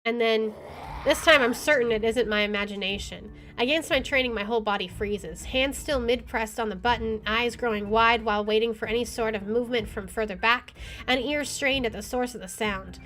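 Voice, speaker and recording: A woman game streamer with an expressive, excitable delivery, reading aloud and commenting as she plays. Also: on the high side, a little fast. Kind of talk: dramatic reading aloud and let's play commentary. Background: faint game music.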